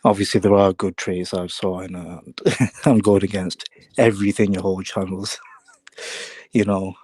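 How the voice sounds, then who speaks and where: A man calls in and speaks casually, laughing nervously. His voice is very deep and flat, and his audio is dry and close. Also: a little slow, husky, no room sound. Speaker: caller.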